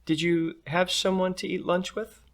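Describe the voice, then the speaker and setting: A man's voice: high-pitched, level tone, moderate pace, pitched above the performer's own voice. A man voicing a kindly, attentive mother with a doting, inquisitive manner in a storybook. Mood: cheerfully curious.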